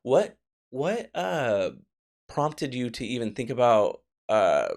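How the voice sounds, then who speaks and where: A man's voice: mid-range voice, big pitch swings, slow, a little mellow. An American man hosting a podcast, interviewing in a casual, conversational way. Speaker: podcast host.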